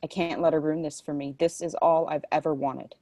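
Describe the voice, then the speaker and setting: A woman's voice: sits low, steady tone, brisk, mellow, no echo. A woman reading the part of a troubled, bitter pregnant woman in a dramatic script table read. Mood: bitter, resolute.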